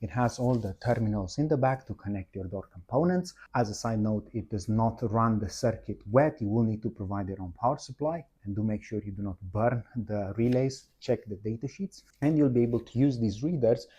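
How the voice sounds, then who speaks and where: A man's voice: on the low side, fairly quick, few pauses, mellow, breathy edge. A man narrating a product walkthrough, explaining methodically, one step at a time. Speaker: product presenter, installer.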